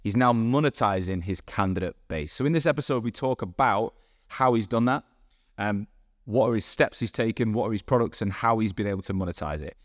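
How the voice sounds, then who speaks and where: A man's voice: on the low side, brisk, no echo, studio quality. A man from England with a Manchester accent, hosting a casual podcast interview and chatting freely. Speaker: podcast host. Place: room in Dubai.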